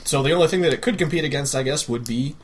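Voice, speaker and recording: A man's voice: on the high side, quick pace. A man hosting a podcast, explaining things in a casual, conversational way. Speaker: podcast host. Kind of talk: casual chat. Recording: clear background noise, clicks.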